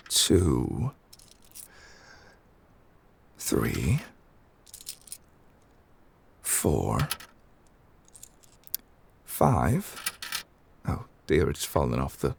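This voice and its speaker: Man playing an ancient male god, very low voice, slow measured pace, formal and polite, absent-minded, game character ASMR. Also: full character voice. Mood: counting softly, then polite, unhurried. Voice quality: very low, creaky. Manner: formal, wordy, politely apologetic. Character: courteous, absent-minded ancient god in mortal man's form. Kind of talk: ASMR character roleplay, improvised.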